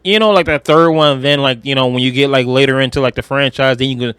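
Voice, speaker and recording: A man's voice: mid-range voice, fairly even intonation, quick pace, a bit bright, clear, resonant tone, dry recording. A man co-hosting a podcast in casual chat, talking bluntly in slang with some swearing. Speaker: podcast co-host and reviewer.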